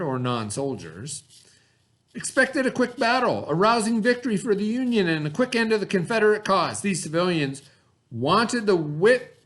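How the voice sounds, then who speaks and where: A man with a somewhat high-pitched voice reads aloud in a teacherly way. His delivery is explanatory, with asides to clarify words and questions put to young listeners. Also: a bit bright. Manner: explanatory, pausing to gloss words and ask questions. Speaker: teacher reading aloud to pupils.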